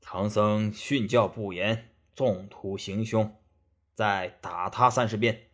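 A man's voice: lowish voice, fairly even intonation, takes it slowly, pitched below the performer's own voice. A man voicing a stern, angry old Taoist immortal in a storybook, scolding and commanding.

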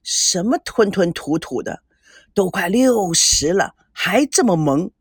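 A woman's voice: lowish voice, expressive, slow, slightly rough, dry recording, studio quality, exaggerated voice. A woman voicing a stern, caring elderly father in an audiobook, grumbling, sighing and admonishing. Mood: teasing, scolding.

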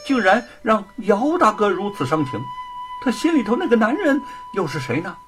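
A man's voice: high voice, big pitch swings, normal speed, music in the background, pitched above the performer's own voice. A male storyteller voicing a witty, carefree young scholar who talks in a light, teasing way. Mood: curious. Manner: breezy, teasing.

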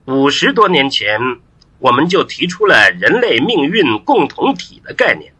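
A man's voice: a little high, very animated, normal speed, sharp tone, a little hiss or hum. A man playing a composed, authoritative statesman giving a formal, declamatory speech in an audio drama. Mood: composed, resolute. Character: composed, authoritative male Chinese statesman urging caution.

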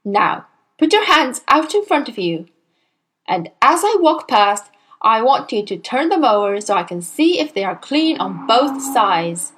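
Storybook villain: woman voicing a huge scornful headmistress, barking, slow and heavy. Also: fairly high pitch, big pitch swings, fairly bright tone, slightly rough, heavily acted, poor audio. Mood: commanding. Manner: bellowing, contemptuous, barking orders. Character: furious tyrannical woman headmistress, huge and bullying. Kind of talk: storybook reading.

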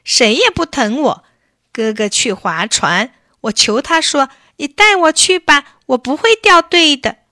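A woman's voice: high-pitched, expressive, a little slow, bright, forceful tone, no room sound, clean studio sound, pitched above the performer's own voice, exaggerated voice. A woman voicing a hot-tempered, sulky little boy in a children's story, in an emphatic childlike manner. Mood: indignant, sulky.

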